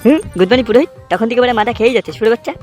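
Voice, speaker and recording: Woman voicing a very fast, bossy street tough. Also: fairly high pitch, a little mellow, faint music behind, lightly acted. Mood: annoyed, bossy. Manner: brash, cursing, impatient. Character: foul-mouthed, bossy young street tough from a gang of friends. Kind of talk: comedy cartoon dubbing.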